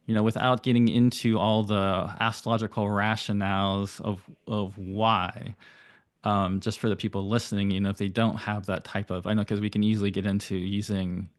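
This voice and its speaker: A man speaking as an astrologer guest on a podcast, giving thoughtful, flowing explanations with occasional rapid bursts. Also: lowish voice, flat delivery, no room sound. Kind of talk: explanatory interview talk.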